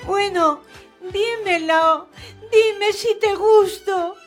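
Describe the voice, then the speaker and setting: A woman playing Gelda, a very high-pitched lovesick girl. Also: extremely slow, music in the background, full character voice. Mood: pleading. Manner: breathless, pleading, repeats questions. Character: Gelda, young woman, lovesick, clingy, hard of hearing. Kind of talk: radio play acting.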